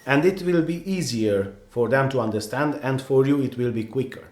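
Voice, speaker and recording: A man's voice: mid-range voice, moderate pace, a little mellow. Earnest Hungarian-accented man in echoey room. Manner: earnest, rambling, many restarts and "you know"s. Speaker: photographer giving advice. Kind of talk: casual advice vlog.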